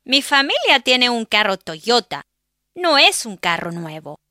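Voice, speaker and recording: A man voicing a polite fifteen-year-old girl visiting a family, in a soft, halting falsetto. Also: big pitch swings, no echo, studio quality, heavily acted. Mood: gentle.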